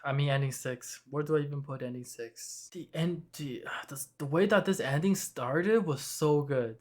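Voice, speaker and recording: A man making an anime reaction video, excitable and talking to his viewers, hoarse from screaming. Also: on the high side, normal speed.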